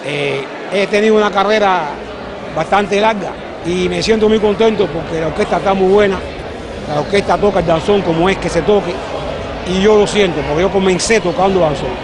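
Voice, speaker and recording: An elderly Cuban man in his seventies, a veteran musician, talks in an interview. His voice is high, with crowd chatter behind him. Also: brisk.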